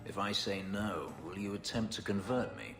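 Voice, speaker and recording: A man as brooding male elf, very low voice, bitter, echoing sound, loud music, fantasy game dialogue. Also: moderate pace, lightly acted. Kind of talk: video game voice acting.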